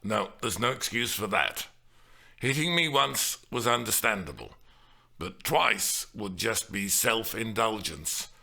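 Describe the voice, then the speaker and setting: Man playing an earnest, reasonable man, bright voice. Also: fairly low pitch, animated, unhurried, creaky, full character voice. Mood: firm, wry. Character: earnest, apologetic, reasonable man, mildly wry. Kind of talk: dramatised audiobook dialogue.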